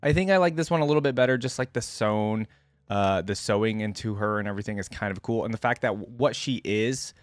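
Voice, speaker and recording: A man's voice: fairly low pitch, fast talker, no echo, studio quality. A man giving casual, off-the-cuff reaction commentary, thinking aloud in an informal way. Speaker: reaction video host.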